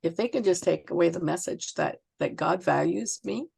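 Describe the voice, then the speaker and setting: A woman author being interviewed, answering conversationally in a reflective, halting way as she searches for words. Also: on the low side, vocal fry, a little mellow, slightly rough, no room sound.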